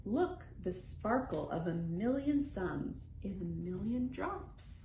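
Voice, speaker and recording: A woman reading a picture book aloud to young children as a storytime host, in an animated, sing-song way with deliberate phrasing and playful sound words. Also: mid-range voice. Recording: roomy echo, faint background noise.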